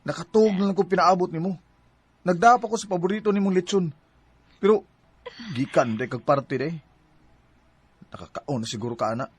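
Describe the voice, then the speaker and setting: A man's voice: high-pitched, a little fast, pauses often, husky. A man with a Cebuano Filipino accent playing a hurt, fed-up young boyfriend who complains plaintively in a radio drama. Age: young adult. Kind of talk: Bisaya radio drama acting.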